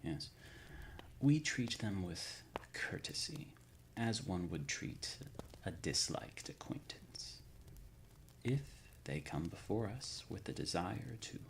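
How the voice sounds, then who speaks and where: A man playing an ancient, solemn undead leader of a secret order in an ASMR roleplay, speaking in a breathy, gravelly whisper with formal, archaic diction. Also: low voice, slight background noise, heavily acted, low-quality recording. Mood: stern, courteous.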